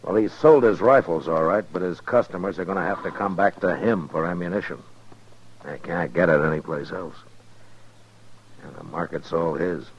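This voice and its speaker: A man playing a steady, self-assured frontier marshal, dry and matter-of-fact, in 1950s radio western style. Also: low-pitched, normal speed. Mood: explaining, steady. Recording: muffled, noisy old radio recording.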